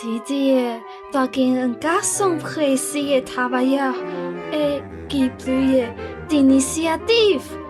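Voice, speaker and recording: A calculating middle-aged society mother acted by a woman in a comic radio play. Her voice sits a little high, insistent and affected, with light music behind. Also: slow. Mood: coaxing, calculating. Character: scheming, money-minded society mother, middle-aged, coaxing.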